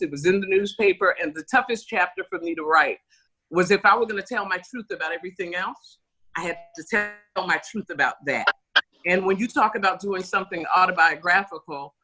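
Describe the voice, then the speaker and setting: A woman's voice: on the low side, sharp tone, slightly rough, rough recording. A woman radio host and author chatting on a video call in a chatty, upbeat, rambling way. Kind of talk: casual video call chat.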